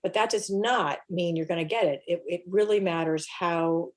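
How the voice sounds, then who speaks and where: A woman health coach explaining nutrition in a relaxed interview, with many restarts. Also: fairly low pitch, moderate pace. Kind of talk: casual interview talk.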